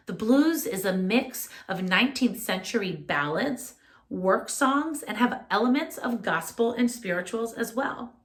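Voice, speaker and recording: A woman music teacher leading a children's lesson, speaking in a clear, instructive way straight to young listeners. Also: medium pitch, a bit bright. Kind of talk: children's music lesson and read-aloud narration.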